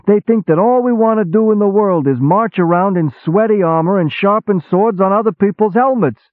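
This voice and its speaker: A man's voice: high voice, brisk, no echo, pitched above the performer's own voice, close to an everyday voice. A man voicing a brash, sarcastic army boss who talks like a streetwise gangster. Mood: outraged. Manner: sarcastic, excitable, shouting, streetwise gangster talk. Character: loud brash middle-aged male army boss. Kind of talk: audiobook character voice. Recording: tinny phone-like sound.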